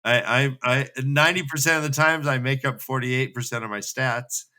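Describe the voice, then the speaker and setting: A man with a middle-pitched, bright and forceful voice retells a story as a show host. His delivery is casual and animated, full of slang and joking asides. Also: normal speed. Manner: animated and slangy, acting out dialogue in bits. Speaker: co-host of a fantasy book re-read show. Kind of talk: casual, jokey story recap.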